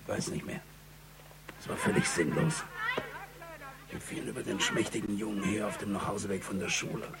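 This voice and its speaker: An actor playing a gruff, weary police chief in a radio crime drama, speaking in a grave, ponderous way. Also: male voice, lowish voice, fairly even intonation, brisk, long pauses, a loud crowd. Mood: grave, recollecting.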